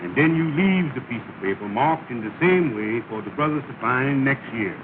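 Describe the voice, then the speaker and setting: A man's voice: mid-range voice, animated, normal speed, natural voice. An actor playing a pompous, bossy male lodge leader in a 1930s film comedy, speaking in a grand, ceremonious way. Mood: solemn. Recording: tinny, hissy 1930 film soundtrack.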